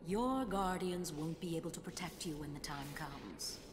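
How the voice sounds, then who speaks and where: An actress playing a haughty, scornful rival summoner in a video game, condescending and taunting. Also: female voice, low voice, lively intonation, moderate pace, music in the background. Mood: mocking.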